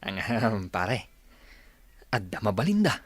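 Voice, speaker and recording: A man's voice: fairly low pitch, sing-song, unhurried, dry recording. A man playing a joking, teasing young best friend in an Ilocano radio comedy drama. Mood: teasing, jovial. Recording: faint music under the voice.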